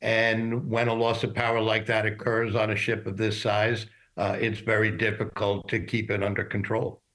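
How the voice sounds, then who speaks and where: Man, fairly low pitch, interviewed expert, measured explanatory delivery, formal wording. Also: monotone, a bit bright, low-quality recording. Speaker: shipping industry expert being interviewed. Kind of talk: news interview analysis.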